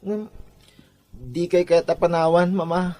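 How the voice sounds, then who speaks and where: A man's voice: high-pitched, little pitch movement, measured pace, mellow. A man playing a downcast, lovesick college-age son in a drama podcast, with an Ilocano accent. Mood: anxious, protesting.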